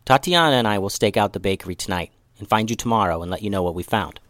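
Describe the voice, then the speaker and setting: A man's voice: on the low side, lively intonation, fast talker, a bit bright, no room sound. A man voicing a bold, earnest female ninja leader in a children's story, speaking emphatically and with command.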